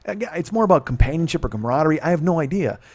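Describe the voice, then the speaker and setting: A man's voice: a little high, lively intonation, very fast. A man in his late forties hosting a casual podcast chat, grumbling and swearing as he mocks himself. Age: 40s. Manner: profane, grumbling, self-mocking. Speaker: podcast host.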